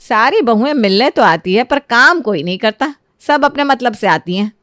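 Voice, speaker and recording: A woman voicing a kind, motherly middle-aged aunty with a plaintive manner in an animated moral story. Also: fairly high pitch, moderate pace, no echo, studio quality.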